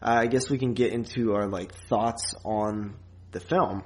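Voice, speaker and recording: A man's voice: on the low side, fairly even intonation, a little slow, a little mellow. A man co-hosting a podcast, casually reviewing a film in a rambling, loose way with plenty of filler and some swearing. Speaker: podcast co-host.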